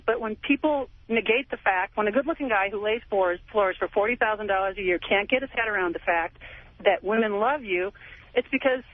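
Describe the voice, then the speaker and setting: An American woman in her forties calling a radio show, talking casually in a chatty, emphatic way. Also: medium pitch. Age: 40s. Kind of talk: casual phone-in talk. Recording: tinny phone line on radio.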